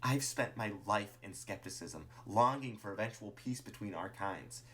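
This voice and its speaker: A man voicing an earnest female monster who reproaches and pleads haltingly in a narrated story. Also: lowish voice, expressive, pushed and bright. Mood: hopeful pleading.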